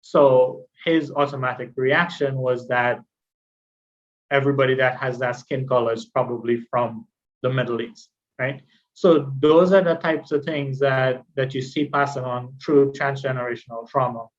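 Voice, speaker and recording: Male presenter, conversational and explanatory, fairly even pitch, slightly muffled lo-fi recording. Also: normal speed. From Trinidad. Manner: explanatory, conversational, poses questions then answers them. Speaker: guest speaker, mental health presenter. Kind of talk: educational presentation with personal anecdotes.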